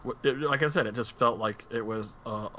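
A man with a bright, forward, lowish voice chats casually as a podcast co-host. He is tentative and self-correcting, and the recording is slightly dull with faint noise behind it. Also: brisk. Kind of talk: casual film discussion among friends.

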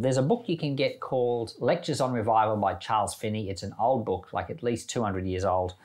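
A man speaking as a pastor on a Bible podcast, explaining scripture in an earnest, informal, conversational way. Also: mid-range voice. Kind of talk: conversational Bible teaching with commentary.

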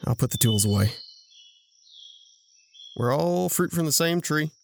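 A man playing a practical, protective brother in an audio drama, gravelly and wry, explaining things with incredulous patience. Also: a little high, animated, unhurried, background noise. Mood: patient, caring.

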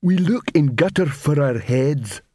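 A man voicing a big, dim but earnest male troll watchman, blunt, with broken grammar and a halting, laboured delivery. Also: fairly high pitch, lively intonation, a little mellow, dry recording, studio quality. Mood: gloomy.

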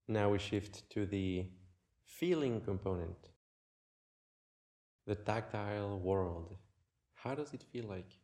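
A man leading a guided meditation in a gentle, unhurried way, leaving long pauses between short phrases. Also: lowish voice, expressive. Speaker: meditation teacher.

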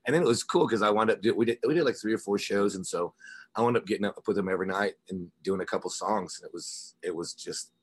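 Low-voiced man telling casual stories. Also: fast talker, breathy edge. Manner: informal, rambling storyteller. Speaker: musician interview guest, rock singer and guitarist. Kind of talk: casual chat, telling anecdotes.

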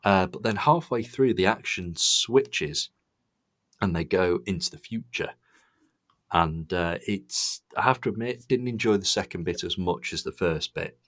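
Very low-voiced man hosting a podcast. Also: measured pace, a bit bright, no room sound, clean studio sound. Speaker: podcast host. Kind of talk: casual review chat.

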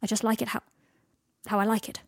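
A woman voicing a gruff, irritable man in an audiobook story, blunt, halting and frustrated. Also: fairly high pitch, level tone, dry recording, clean studio sound. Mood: awkwardly apologetic.

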